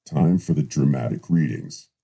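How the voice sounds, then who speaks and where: A man putting on a grave, ominous movie-trailer announcer voice, gravelly and husky, slow and portentous. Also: extremely low pitch, expressive, warm tone, voice pushed down, exaggerated voice.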